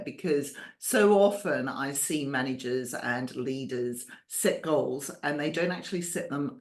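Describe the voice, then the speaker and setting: A woman hosting an interview over a video call, chatty and enthusiastic, laughing easily. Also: low-pitched. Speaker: podcast host.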